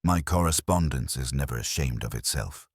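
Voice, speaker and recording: A man's voice: a bass voice, animated, moderate pace, slightly rough, dry recording, clean studio sound, heavily acted. A man voicing a pompous, self-righteous treasurer in an audiobook mystery, speaking in stiff, orotund formality. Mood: serene. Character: pompous, self-righteous male committee treasurer, serenely evasive.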